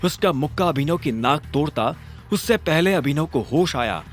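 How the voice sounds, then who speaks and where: A male narrator tells a dramatic scripted story in a fairly high voice. His delivery swings widely in pitch, and music plays behind him. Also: animated, normal speed.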